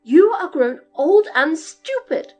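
Woman playing a loud, bossy giantess. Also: high-pitched, sing-song, a little slow, faint music behind, voice pushed up, full character voice. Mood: scolding. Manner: loud, domineering.

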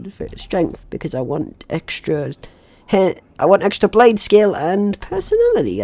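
A woman's voice: on the low side, warm tone, no room sound. A woman giving casual, rambling and playful let's play commentary as host, swearing now and then. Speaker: gaming video host.

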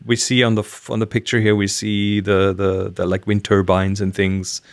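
A man's voice: lowish voice, level tone, normal speed, creaky. A middle-aged man co-hosting a podcast, giving casual conversational commentary over a video call. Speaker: podcast co-host. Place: home room, indoors.

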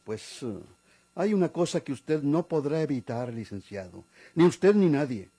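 A proud retired Mexican lawman bent on vengeance. His voice is slightly high and dark-toned, with defiant, declamatory radio drama delivery. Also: very animated, moderate pace, breathy edge. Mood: bitter, defiant. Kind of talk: 1950s-style Mexican radio drama acting.

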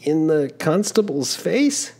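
A man reading a storybook aloud, voicing an eager, polite young male traveler who protests quickly. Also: on the high side, very animated, mellow, pitched above the performer's own voice, full character voice. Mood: indignant.

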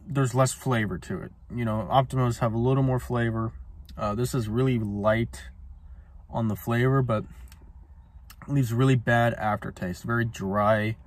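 A man in his twenties casually reviewing a cigar while smoking it in a parked car, rambling with lots of ums, recorded on a phone camera. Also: mid-range voice. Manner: laid-back, rambling, many ums and uhs. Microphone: phone camera on dashboard.

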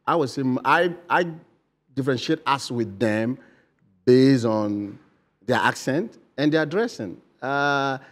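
A Ghanaian man in his forties talks earnestly in a mid-range voice, pausing on fillers and restarting his sentences. He is a guest on a lapel mic in a bright TV studio. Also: mid-range voice, expressive. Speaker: political candidate guest. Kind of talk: studio interview answers.

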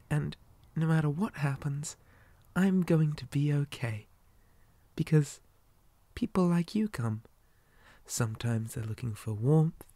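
A man playing a creepy, possessive living doll boy in an ASMR roleplay, soft and often whispering, with gentle, unsettlingly polite childlike sweetness. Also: fairly high pitch, no room sound. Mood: lonely, wistful.

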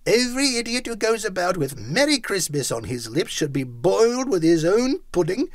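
A man's voice: high-pitched, very animated, normal speed, no room sound, studio quality, voice pushed up. A man voicing a bitter, miserly old man who talks sternly and growls his scorn. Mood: scornful.

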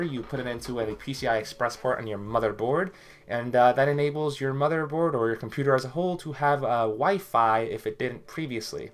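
A young man talking casually to his webcam in a small bedroom, a little sick with a cough, rambling with many fillers as he walks through computer parts. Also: mid-range voice. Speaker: tech vlogger. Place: small bedroom with hardware boxes. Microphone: webcam at desk.

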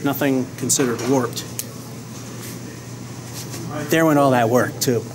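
Man, forties, casual play-by-play, clipped wry remarks, loud ambient hum, camera mic. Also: mid-range voice, slow pace. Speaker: bowling show host. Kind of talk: casual play-by-play commentary of own game. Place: large indoor bowling alley. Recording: loud hum and room noise.

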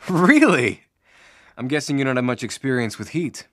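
A man playing a skeptical, blunt young mountain man in an animated film. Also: mid-range voice, normal speed, natural voice.

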